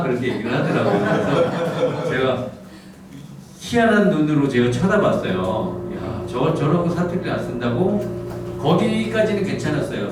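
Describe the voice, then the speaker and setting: A middle-aged man from the Gyeongsang region who speaks with a standard Seoul-area accent, preaching in a soft, humorous storytelling manner. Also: a little high, far from the mic. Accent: standard Seoul-area Korean. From Gyeongsang region, South Korea. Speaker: pastor. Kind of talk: lecture-style sermon. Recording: echoey hall miked from afar, background noise.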